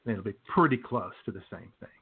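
A man's voice: lowish voice, expressive, a little fast, breathy edge. A man hosting a radio show with casual, folksy, rambling comedic banter. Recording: tinny phone-like sound, faint noise.

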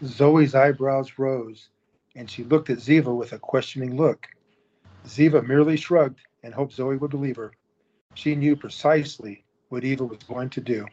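A man narrates an audio drama in a steady storytelling manner, with his voice at a middle pitch. The recording has a slightly dull, lo-fi sound. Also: moderate pace, husky.

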